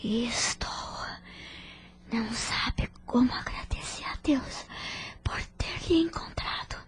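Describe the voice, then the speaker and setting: A woman playing a gravely ill, repentant older patient in an audio drama, her voice breathless and strained, speaking haltingly. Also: on the high side, in a whisper. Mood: weak, grateful. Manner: slow, halting, effortful speech. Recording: music bed behind voice.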